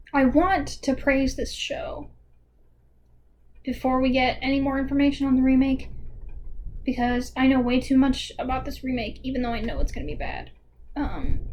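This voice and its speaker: A woman's voice: high voice, darkish tone, slight background noise, rough recording. A young woman giving a casual video essay commentary in a chatty, informal way with dry, joking asides. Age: young adult.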